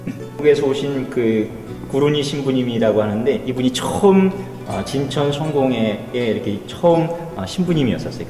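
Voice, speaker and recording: A middle-aged man, an Anglican priest, giving an informal explanatory tour and pausing to find his words. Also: mid-range voice, mellow. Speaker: Anglican priest, church guide. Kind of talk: informal explanatory guided tour of church history. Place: inside a wooden church hall. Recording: echoey hall, distant mic, faint music.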